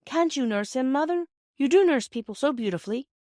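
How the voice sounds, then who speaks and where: Woman voicing an eager English schoolboy, pitched high. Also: lively intonation, a little fast, darkish tone, no room sound, clean studio sound. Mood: eager, persuasive. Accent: British. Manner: old-fashioned boyish slang, pleading. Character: eager, earnest English schoolboy, her son.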